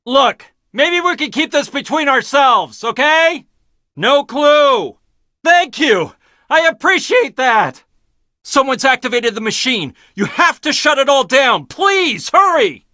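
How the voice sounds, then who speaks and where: A man's voice: very high-pitched, very animated, slow, bright, forceful tone, no room sound, studio quality, exaggerated voice. An actor playing a prickly, condescending young male scientist in a video game, with clipped, sardonic delivery. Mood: panicked, shouting. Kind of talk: video game character dialogue.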